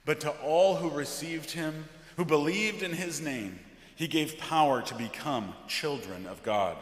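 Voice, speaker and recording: Male pastor in an echoey church. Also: on the high side. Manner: solemn, deliberate, measured. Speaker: Lutheran pastor. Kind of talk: liturgical scripture reading. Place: large church interior, from the pulpit. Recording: echoey church with long reverb.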